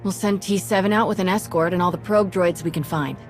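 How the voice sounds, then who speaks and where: A woman plays a practical, upbeat fighter who calms her companions. She speaks briskly with a light joking edge in a slightly low voice. Soft music runs underneath. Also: lightly acted. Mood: reassuring.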